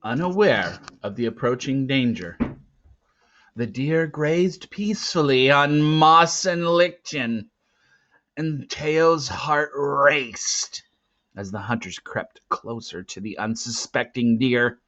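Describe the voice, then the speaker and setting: A man reading a storybook aloud as narrator, with dramatic, suspenseful swells and hushed drops. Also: mid-range voice, animated, takes it slowly. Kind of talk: expressive storybook read-aloud. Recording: dull lo-fi, roomy echo.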